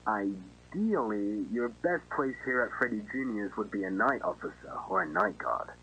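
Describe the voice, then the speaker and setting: A chatty, friendly restaurant manager acted by a man in an analog horror phone call. His voice is low yet bright and swings in a lively way. He is casual and reassuring, over faint noise. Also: moderate pace. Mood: upbeat, reassuring. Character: chatty, easygoing restaurant manager interviewing a job applicant. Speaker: voice actor in an analog horror video.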